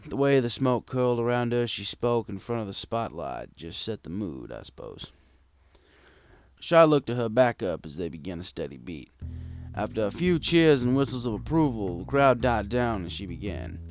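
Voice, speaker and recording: Wry man narrating, with very lively pitch swings. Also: moderate pace, no room sound. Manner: conversational, wry storytelling. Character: wry, gruff bartender pony telling his tale. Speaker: story narrator. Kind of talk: scripted first-person narration of a fan fiction story.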